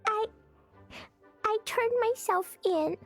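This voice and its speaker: A woman voicing an earnest, naive little girl in a video game, childlike and halting. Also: very high voice, creaky, faint music behind, exaggerated voice. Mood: guilty, bewildered. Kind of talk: anime-style video game acting.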